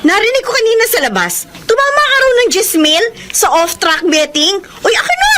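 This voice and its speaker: A woman playing a shrill, nagging young wife. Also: huge pitch swings, a little fast, pushed and bright, heavily acted. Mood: shrill quarreling. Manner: shrill, quarrelsome, whining. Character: shrill hungry scheming young wife, scam partner. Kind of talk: radio drama acting.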